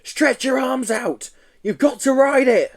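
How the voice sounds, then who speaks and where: A man's voice: extremely high pitch, very animated, normal speed, darkish tone, voice pushed up, full character voice. A man voicing a plucky young boy who snaps urgent orders. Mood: urgent bossy. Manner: urgent, snappy, bossy.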